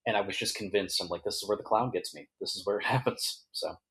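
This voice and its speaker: A man on a podcast chatting casually, telling personal anecdotes in a halting, self-deprecating way. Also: lowish voice, fairly bright tone. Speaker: podcast producer and guest.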